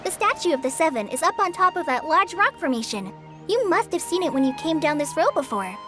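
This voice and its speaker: Youthful trainee ranger, very high pitch, lively swings, earnest and helpful, video game, faint music behind. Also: female voice, brisk. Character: earnest, eager young trainee forest ranger, youthful and dutiful.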